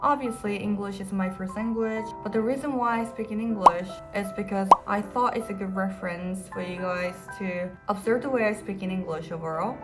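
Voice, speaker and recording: A woman's voice: mid-range voice, fairly quick, few pauses. Young woman explaining, over music. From South Korea. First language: Korean. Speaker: vlogger. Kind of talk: scripted English-learning talk. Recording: voice with loud background music.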